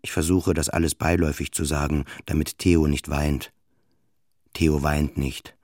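A despairing father in a radio play, with a very low voice. He confesses in short, halting phrases that circle back on themselves, intimate and full of self-loathing. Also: little pitch movement, darkish tone. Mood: subdued, reflective. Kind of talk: intimate first-person radio play monologue.

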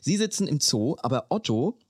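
A man's voice: on the high side, big pitch swings, normal speed, slightly rough, no echo, studio quality. A man in his thirties co-hosting a podcast, telling stories in a casual, colloquial way. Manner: conversational, colloquial. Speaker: podcast co-host. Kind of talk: casual storytelling chat.

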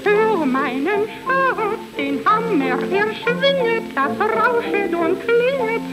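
A woman's voice: extremely high pitch, animated, slow, full character voice, low-quality recording. A woman playing an auditioning singer in an old cabaret radio sketch, on an archive recording with music. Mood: singing, theatrical.

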